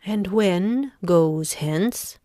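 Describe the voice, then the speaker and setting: Ambitious, ruthless noblewoman, very slow and intense, close dry sound, classical stage verse, audiobook drama. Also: lowish voice, dark tone, no room sound. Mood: commanding, scheming. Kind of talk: dramatic Shakespearean audiobook reading.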